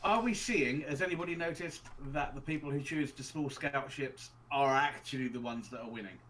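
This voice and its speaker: A man co-hosting a game tournament, excitable and joking in casual chat. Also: mid-range voice, moderate pace. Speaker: tournament co-host. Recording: distant mic with loud noise.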